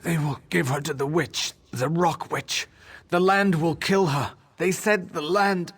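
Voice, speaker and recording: An actor playing a frail, distraught wood-elf husband in a video game, weak, halting and fearful, with a husky voice. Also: male voice, fairly high pitch, fairly bright tone, full character voice. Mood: desperate, weak. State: distressed, weakened. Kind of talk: video game character dialogue.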